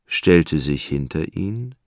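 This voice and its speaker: A male narrator with an extremely low voice and a gravelly creak. He delivers literary radio drama gravely and with measure, in a very dry recording. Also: lively intonation, takes it slowly, a little mellow, no room sound.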